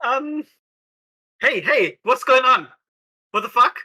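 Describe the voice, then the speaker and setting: Very bright, high-pitched animated woman. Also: takes it slowly. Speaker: tabletop game player. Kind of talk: improvised in-character roleplay and table chat.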